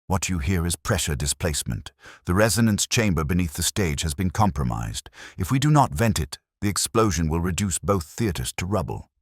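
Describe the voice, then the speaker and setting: A man's voice: deep voice, big pitch swings, a little fast, hardly any pauses, husky, no room sound, studio quality, exaggerated voice. A man narrating a story as a stern, precise detective with a clipped, formal, grimly controlled manner. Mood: grim, urgent.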